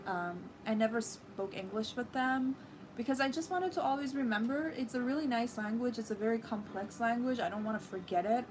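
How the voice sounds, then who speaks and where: A woman's voice: fairly high pitch, a little fast, noisy background. A young woman whose first language is Farsi, hosting a livestream and chatting casually with her viewers, with many fillers. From Iran. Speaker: livestream host. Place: living room with a red sofa, indoors.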